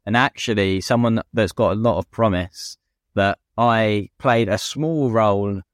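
A man's voice: fairly low pitch, a little slow, no room sound, clean studio sound. A British man co-hosting a casual motorsport chat as a racing driver, speaking in an informal, colloquial, opinionated way. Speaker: podcast co-host and racing driver.

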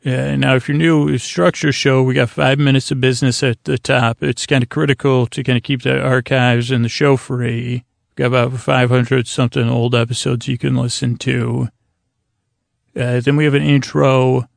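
Creaky-voiced man rambling softly at bedtime. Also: medium pitch, flat delivery, no echo, studio quality. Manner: slow, languid, meandering, with long pauses. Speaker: podcast host. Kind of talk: rambling bedtime sleep podcast monologue.

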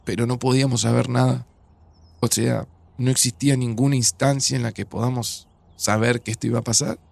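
An actor playing a grieving, resigned man in an audio drama, speaking Rioplatense Spanish in a subdued, hesitant, gravelly way. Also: mid-range voice, fairly even intonation, brisk, a little mellow, no echo. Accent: Rioplatense Argentine. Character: grieving, resigned widower in a near-future Argentina. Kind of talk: naturalistic audio drama dialogue.

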